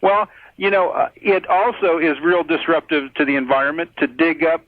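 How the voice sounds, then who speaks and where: A fairly high-voiced American man speaks as a caller on a radio show. He lays out his case point by point in a measured, conversational manner. The line is narrow and muffled, like a telephone, with no room sound. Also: fairly even intonation, no echo. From United States. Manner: measured, methodical, explanatory. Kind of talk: conversational debate.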